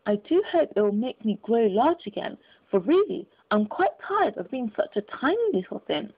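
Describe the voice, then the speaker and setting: A woman's voice: a little high, very animated, normal speed, breathy edge, heavily acted. A woman voicing a curious, polite young girl in a storybook reading, earnestly talking to herself. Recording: tinny phone-like audio.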